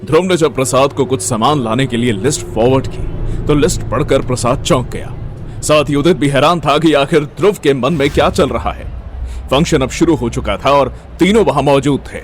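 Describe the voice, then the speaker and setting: A man narrates a scripted audio story in a mid-pitched voice. He works as the storyteller between scenes, with faint noise behind him. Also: normal speed.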